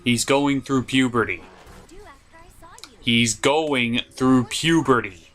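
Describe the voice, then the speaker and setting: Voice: medium pitch, slow pace, a bit bright. A reviewer giving scripted comedic narration in a theatrical, sarcastic, mock-exasperated way, with some swearing. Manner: profane. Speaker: cartoon reviewer and host.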